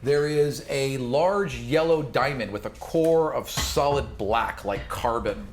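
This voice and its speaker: A man's voice: medium pitch, slow, a little hiss or hum. A man running a tabletop role-playing game, describing things in an informal, off-the-cuff way with casual asides. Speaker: game master.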